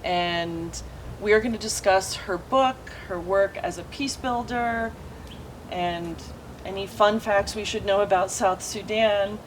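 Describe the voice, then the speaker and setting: A woman's voice: medium pitch, slow, slight background noise, low-quality recording. A woman hosting a conversational interview in an enthusiastic manner, introducing her guest and asking questions. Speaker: interviewer, host.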